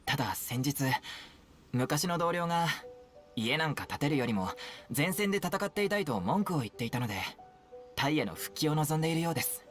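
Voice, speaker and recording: Lively, dutiful male soldier. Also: a little high, racing, soft background music. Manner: formal, polite, animated. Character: dutiful male soldier giving a polite report. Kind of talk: Japanese anime game voice acting.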